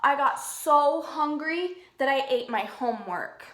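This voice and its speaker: A woman's voice: high-pitched, lively intonation, a little slow, a bit bright. A woman reading a picture book aloud to young children like a preschool teacher, explaining in simple words and asking them questions. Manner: simple explanatory phrasing, prompting listeners with questions. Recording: echoey room.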